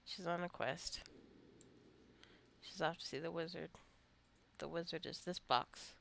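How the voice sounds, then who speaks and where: A woman giving casual, rambling gameplay commentary as a let's-play host, musing aloud to the game's characters in a creaky voice. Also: on the low side, fairly even intonation, a little slow, fairly bright tone, slight background noise.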